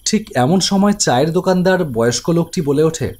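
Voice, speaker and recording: A young man voicing a protective elder brother who also narrates the animated ghost story, brisk, teasing and reassuring. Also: fairly high pitch. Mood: narrating.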